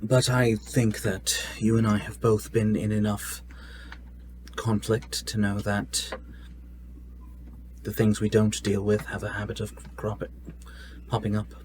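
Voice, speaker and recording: A man role-playing at a tabletop game, speaking in a measured, gentle way. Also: fairly low pitch, little pitch movement. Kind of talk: in-character roleplay and table talk. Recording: noisy room ambience.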